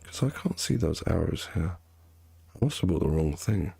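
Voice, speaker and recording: A man's voice: low-pitched, expressive, normal speed, creaky, dark tone, husky, no echo. A man streaming a game, talking in a casual, rambling way with a nasal voice and frequent restarts. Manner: rambling, self-correcting, chatty. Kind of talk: casual chat while gaming.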